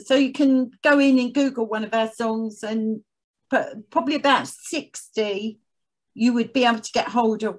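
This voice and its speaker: A woman speaking as an interview guest, chatting casually in a hesitant, halting way. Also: on the high side. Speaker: guest, head of a veterans' arts organisation.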